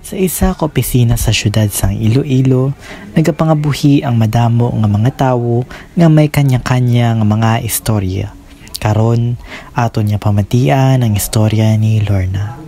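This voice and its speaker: A man's voice: mid-range voice, normal speed, warm tone. A man with a Filipino accent reading scripted narration as the host of a radio drama. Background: faint music.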